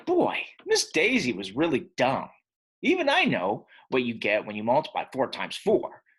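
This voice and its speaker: A school-hating eight-year-old boy telling his storybook tale, acted out by a man. He is grumpy and sure of his opinions, with very lively, swooping emphasis and a slightly rough, husky tone. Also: mid-range voice, moderate pace, full character voice. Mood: scornful. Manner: emphatic, expressive. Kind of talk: first-person children's storybook narration.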